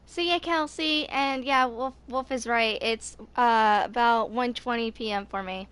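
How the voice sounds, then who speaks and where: A woman in her twenties streaming a video game, chatting casually and reading aloud in a halting way, stumbling over words. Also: on the high side, a bit bright, a little hiss or hum. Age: 20s.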